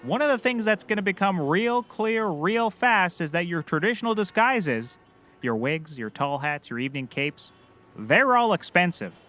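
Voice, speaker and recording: A man's voice: high-pitched, big pitch swings, moderate pace, pushed and bright, exaggerated voice. A man playing a cocky, clueless small-time private eye in a scripted comedy, glib and rambling. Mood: breezy lecturing. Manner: glib, rambling, self-assured.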